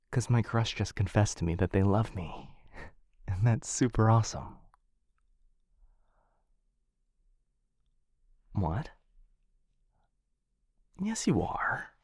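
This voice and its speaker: A man voicing a shy, affectionate dog-person boyfriend in an ASMR roleplay, speaking softly and hesitantly, often close to a whisper, right up on the microphone. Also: fairly low pitch, no echo, full character voice. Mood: happy, giddy. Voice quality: soft, breathy, often dropping into whisper. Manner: hesitant, tender, intimate. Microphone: close microphone in a dry space.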